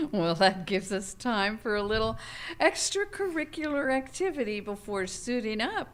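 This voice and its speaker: A woman's voice: fairly high pitch, sing-song, unhurried. A woman playing a flirty, naive married hotel guest, gushing and playful, in a live radio comedy. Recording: live theater stage with background noise.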